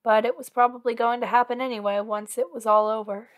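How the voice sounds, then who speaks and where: A woman acts out a female villain in love, anxious, clingy and possessive. Her voice sits a little high. She talks one-on-one to her partner as in an ASMR audio drama, earnest and intimate, pleading and insisting with devotion. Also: level tone, fast talker, close to an everyday voice. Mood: soft, reassuring. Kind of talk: ASMR roleplay acting, one-sided dialogue.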